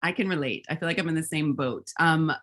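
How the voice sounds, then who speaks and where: An American woman hosts a relaxed interview and keeps affirming her guest. Her speech is loose, with a lot of "you know" and "um" fillers. Her voice is on the low side. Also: quick pace. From raised between California and Georgia. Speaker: host. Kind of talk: casual interview chat.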